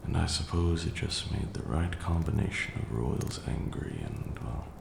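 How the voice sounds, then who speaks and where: Man playing a very deep, gravelly vampire lord. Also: reverberant, noisy background, heavily acted. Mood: wistful, grave. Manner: measured, formal, hushed, with long pauses. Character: ancient male vampire lord, wistful, proud, tender. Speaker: ASMR roleplay performer. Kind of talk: ASMR roleplay, slow intimate storytelling.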